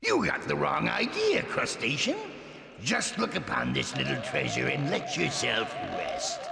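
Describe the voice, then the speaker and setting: Slow gravelly ghostly villain. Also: male voice, on the high side, huge pitch swings, heavily acted. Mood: menacing, commanding. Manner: slow, grandiose, theatrical. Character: menacing ghostly villain, theatrical and commanding. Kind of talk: video game cartoon acting. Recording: noisy, echoey hall reverb.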